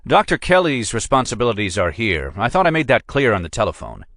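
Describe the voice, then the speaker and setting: A man's voice: medium pitch, big pitch swings, a little fast, fairly bright tone, dry recording, studio quality, pitched above the performer's own voice. A man doing a pompous, dismissive museum lawyer.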